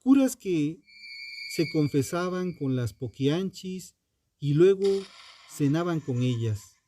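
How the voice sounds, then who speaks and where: A man reading a scripted true crime narration in a solemn, dramatic manner, in short phrases with emphatic pauses. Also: on the high side, expressive, background noise.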